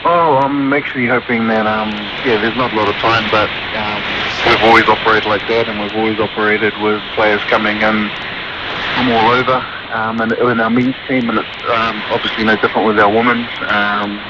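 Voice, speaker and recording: A man giving casual, halting interview answers as a sports coach. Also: on the low side, little pitch movement. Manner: halting, informal, many pauses. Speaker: rugby coach, interview guest. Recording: noisy, dull shortwave radio reception.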